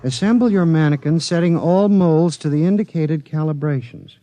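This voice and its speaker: An American actor playing a flustered, lovelorn lawyer with a hesitant, distracted manner in a 1950s radio drama. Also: male voice, a little high, moderate pace, dark tone, no echo, muffled recording, close to an everyday voice. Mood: reading intently.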